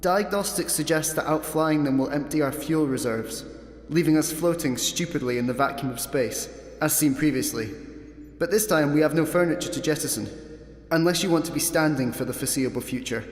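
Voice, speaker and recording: A man playing a pedantic, deadpan spaceship computer in a sci-fi comedy radio play. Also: on the high side, brisk, lightly acted. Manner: deadpan, pedantic, formal wording. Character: pedantic, sardonic male spaceship computer, unbothered by danger. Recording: echoey, long reverb.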